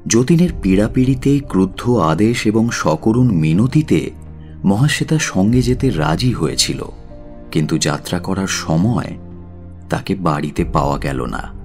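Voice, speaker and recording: Deep-voiced, expressive male storyteller. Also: unhurried, faint music behind. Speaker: narrator. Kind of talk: dramatic scripted story narration.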